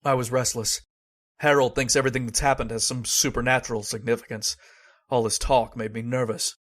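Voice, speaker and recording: A man's voice: medium pitch, flat delivery, fairly quick, natural voice. A man playing a skeptical but haunted narrator in an old-time radio horror drama. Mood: uneasy.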